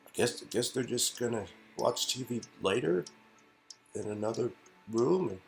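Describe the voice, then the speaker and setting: A man's voice: mid-range voice, expressive, moderate pace, gravelly, soft background music. A man reading an interactive game aloud, mixing story narration with casual asides. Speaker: reader performing an interactive game.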